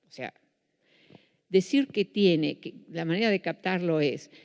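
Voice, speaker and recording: A woman's voice: fairly low pitch, measured pace, vocal fry, darkish tone, clean tone. A Dutch-born woman with a Buenos Aires Spanish accent giving a public lecture, restarting and correcting herself often. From Netherlands. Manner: self-correcting, with frequent restarts. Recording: reverberant hall.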